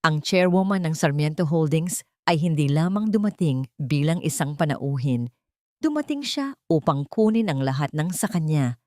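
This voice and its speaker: A woman's voice: low voice, a little mellow, no echo. A woman narrator tells a dramatic scripted story. The recording is dry and close, with no room around her.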